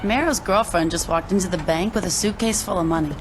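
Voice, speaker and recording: An actress playing a young, laid-back gang lieutenant in a video game, casual and conciliatory. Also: female voice, fairly low pitch, a little fast, noisy background, lightly acted. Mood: casual, helpful. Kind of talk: video game cutscene acting.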